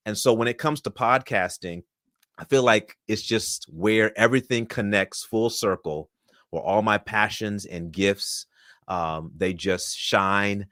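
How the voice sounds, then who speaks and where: Low-voiced man, polished guest. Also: measured pace, a bit bright, dry recording, studio quality. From Florida, USA. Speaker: podcast guest. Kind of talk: conversational interview answers.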